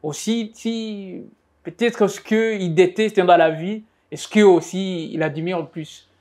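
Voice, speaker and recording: A man's voice: high-pitched, slow, a little mellow. A male sports journalist asking questions, hesitant and stumbling, full of fillers. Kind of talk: interview questions.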